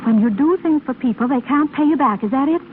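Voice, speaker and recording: Proud, guarded 1930s radio heroine played by an actress. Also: female voice, high-pitched, quick pace, lightly acted. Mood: curious. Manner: polite, cool, exasperated. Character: proud, guarded, indignant widowed lady. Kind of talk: 1930s American radio drama acting. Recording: narrow-band, faintly noisy 1930s radio broadcast.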